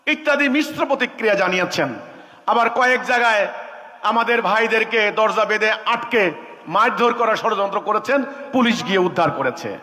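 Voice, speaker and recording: A man's voice: high voice, normal speed, sharp tone. A man with a Bangladeshi accent giving an impassioned, emphatic public speech as a religious leader, repeating phrases and posing rhetorical questions. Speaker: religious movement leader addressing a conference. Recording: echoing PA sound with long reverb.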